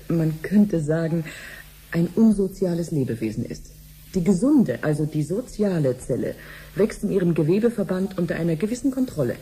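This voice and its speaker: An actress playing a friendly, patient cancer researcher in a radio drama, explaining things clearly like a teacher. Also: female voice, lowish voice, dark tone. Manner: didactic, clearly phrased explaining. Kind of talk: 1970s German radio drama acting.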